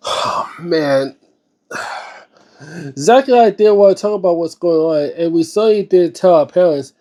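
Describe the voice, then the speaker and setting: A man with a notably high voice and a soft, dark-edged, relaxed tone reads a book aloud. He moves between narration and informal asides, sometimes tripping over the wording. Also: slow, long pauses. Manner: stumbles over words while reading, informal interjections. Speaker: host reading a book aloud and reacting. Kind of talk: read-aloud narration with casual, off-the-cuff reactions.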